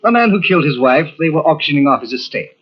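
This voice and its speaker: An actor playing a nervous, haunted gentleman with a hesitant, dramatic delivery in an old-time radio mystery. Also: on the high side, animated, fast talker. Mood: grave, uneasy. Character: nervous, haunted gentleman host with a dark secret. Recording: dull old radio tape from cassette.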